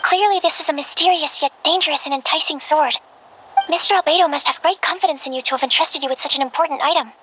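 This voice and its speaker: Woman as a very high-voiced, earnest young alchemist. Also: fast, noisy background, lightly acted. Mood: absorbed. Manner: earnest, formal, hesitant when flustered. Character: earnest young female alchemist, polite, bookish and easily flustered. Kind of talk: anime-style video game voice acting. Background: music.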